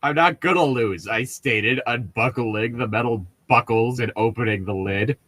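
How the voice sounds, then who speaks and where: A man's voice: medium pitch, normal speed, a bit bright, no echo. A young man in casual banter with friends, teasing, swearing and picking at details while co-hosting a comic read-aloud. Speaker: co-host reading fan fiction aloud.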